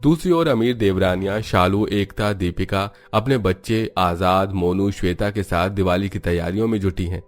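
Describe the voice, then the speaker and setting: A man narrates a scripted cartoon story in a low-pitched voice. He works as a storyteller, with music playing behind him. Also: moderate pace, hardly any pauses.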